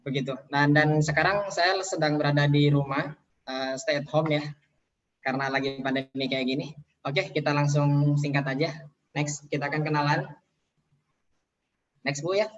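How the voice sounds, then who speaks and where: A man's voice: on the high side, fairly even intonation, normal speed, long pauses, highs cut off. A man in his twenties, speaking casually from home as a guest speaker in an online seminar, with many fillers and light self-deprecating jokes. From Indonesia. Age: 20s. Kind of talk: online business seminar sharing. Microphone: video call.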